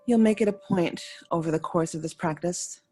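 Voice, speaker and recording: A woman leading a guided meditation as a yoga nidra teacher, speaking in soothing, unhurried short phrases with pauses between them. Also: on the low side, a little mellow, slight background noise. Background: faint music.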